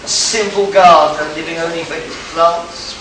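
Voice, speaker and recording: A man's voice: on the high side, fairly even intonation, moderate pace, lightly acted. Man acting a stern detective, very echoey. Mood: sardonic, accusing. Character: stern, shrewd male detective accusing murder suspects. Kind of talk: amateur radio play acting. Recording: echoey, distant live recording with noise.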